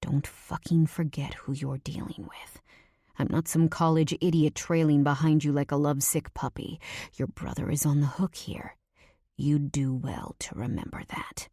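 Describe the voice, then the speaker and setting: Brief: woman reader voicing a dangerous possessive man in an audiobook; low, quiet, close whisper, dry sound. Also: fairly even intonation, normal speed, dry recording. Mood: threatening. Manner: low hushed half-whisper, threatening. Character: menacing possessive grown man, controlling.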